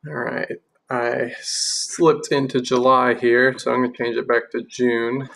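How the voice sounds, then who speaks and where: A man giving an informal screen-share software demonstration, explaining things step by step. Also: medium pitch, flat delivery, measured pace, creaky, low-quality recording.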